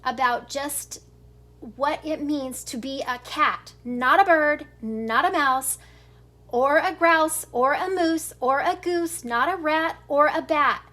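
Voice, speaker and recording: A woman's voice: high voice, takes it slowly, pushed and bright, full character voice. A woman voicing a strict Siamese cat mother from a storybook, scolding and lecturing with emphasis.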